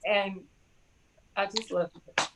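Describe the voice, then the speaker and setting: A woman's voice: mid-range voice, little pitch movement, slow pace, a crowd in the background, poor audio. A woman, an acting student, giving an informal spoken tribute in short phrases.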